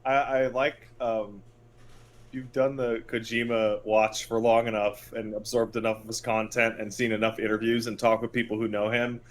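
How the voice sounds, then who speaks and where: Casual man riffing on a podcast. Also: medium pitch. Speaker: podcast co-host. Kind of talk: casual gaming podcast chat.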